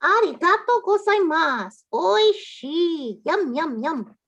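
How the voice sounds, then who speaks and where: A woman's voice: extremely high pitch, big pitch swings, slow pace, fairly bright tone, muffled recording, full character voice. A woman playing a polite, eager pheasant in a dramatic folktale reading, with exclamatory delivery. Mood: delighted. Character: polite, eager pheasant companion with a sharp beak.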